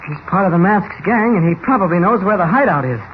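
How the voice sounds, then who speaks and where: High-pitched mild reporter, old radio. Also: male voice, animated, quick pace. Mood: brisk, confident. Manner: hesitant, feigned innocence. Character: Clark Kent, mild, evasive, amiable newspaper reporter. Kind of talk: 1940s radio acting. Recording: noisy, muffled old radio recording.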